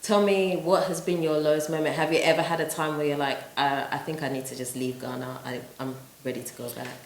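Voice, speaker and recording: A woman hosts a relaxed interview, her voice fairly low for a woman. She asks open questions and fills the gaps with steady little affirmations. The sound carries a roomy echo. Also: a little fast, a little hiss or hum. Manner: supportive, many short affirmations. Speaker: interviewer, host. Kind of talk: casual interview conversation.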